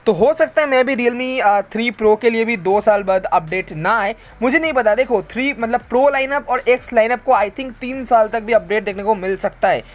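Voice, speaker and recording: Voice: mid-range voice, normal speed, few pauses. An Indian tech channel host casually reads out and answers viewer questions, explaining things conversationally and mixing English tech terms into the talk. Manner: conversational, explanatory, addresses listeners as bro and friends.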